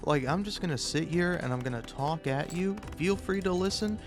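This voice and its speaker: A man improvising a self-deprecating comic monologue for a gaming video, rambling and halting, often interrupting himself and swearing casually. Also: on the high side, over music. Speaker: video game YouTuber.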